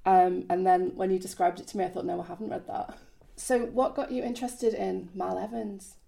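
A woman hosting an interview, relaxed and conversational as she introduces her guest. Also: medium pitch, a little fast, creaky. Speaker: radio show host.

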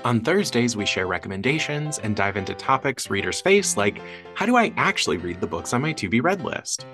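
A man's voice: mid-range voice, big pitch swings, fairly quick. A man hosting a podcast, reading a scripted promo. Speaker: podcast host. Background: guitar music.

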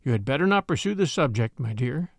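Formal family lawyer read by a man, measured, kindly, expressive pitch, dry close sound. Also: gravelly, dry recording.

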